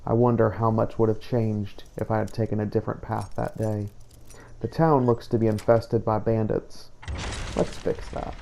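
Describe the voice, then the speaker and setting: A man narrating a scripted first-person journal of a game playthrough in a measured, formal storytelling manner. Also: lowish voice, little pitch movement, vocal fry, warm tone, a little hiss or hum. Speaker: host and player of a game playthrough.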